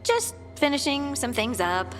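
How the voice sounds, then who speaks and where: An actress playing a tired, uneasy female dwarf in a fantasy game, speaking haltingly. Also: high voice, very animated, fairly bright tone, faint music behind, exaggerated voice. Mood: weary, uneasy. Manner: hesitant, halting. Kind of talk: video game dialogue acting.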